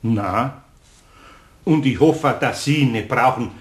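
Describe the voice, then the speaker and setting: Man acting a gentle older Bavarian craftsman. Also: mid-range voice, takes it slowly, close to an everyday voice. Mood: gently persuading. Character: kindly, mild older Bavarian master craftsman. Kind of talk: German radio play acting.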